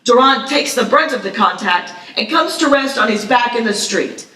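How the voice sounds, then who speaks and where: A woman's voice: on the high side, expressive, moderate pace, bright, forceful tone. A woman reading screenplay action aloud as narrator at a live table read, with a dramatic, vivid delivery. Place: live festival reading in echoey room. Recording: echoey room, distant mic.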